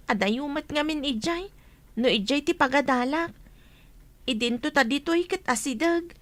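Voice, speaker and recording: A woman's voice: high voice, slow, pauses often, no room sound. A woman playing a fretful schoolgirl in a comedy radio drama, plaintive and whining. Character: fretful schoolgirl complaining to her mother.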